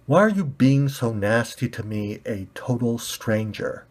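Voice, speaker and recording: Man voicing a prim, touchy storybook square, slow. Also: mid-range voice, expressive, darkish tone. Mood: wounded.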